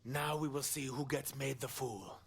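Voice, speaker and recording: A man playing a boastful, menacing wrestler in a video game, gloating in a gravelly, husky, drawn-out voice. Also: medium pitch, steady tone, a bit bright. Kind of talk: video game cutscene acting.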